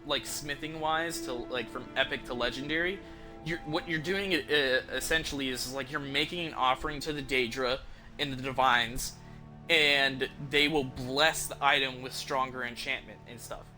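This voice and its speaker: Bright, forceful male game streamer. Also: on the high side. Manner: informal, enthusiastic, rambling. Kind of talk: casual live stream commentary.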